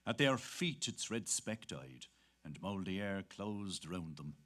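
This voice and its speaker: Lively, varied male literary narrator. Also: low voice, slow.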